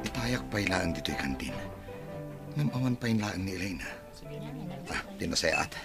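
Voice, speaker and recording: An actor with a Filipino accent playing a flustered, evasive young son and sweetheart, blustering and pleading in a radio drama. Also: male voice, sits low, lively intonation, moderate pace, loud music behind the voice. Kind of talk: Ilocano radio drama acting.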